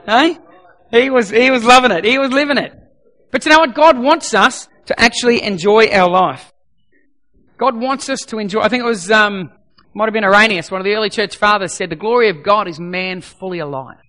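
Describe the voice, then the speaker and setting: A middle-aged pastor with a high, bright voice that has gone a little croaky. He preaches emphatically, hammering home repeated lines and then easing into quiet prayer. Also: a little fast. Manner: emphatic, swings from loud repeated exhortations to soft prayer. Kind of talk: sermon.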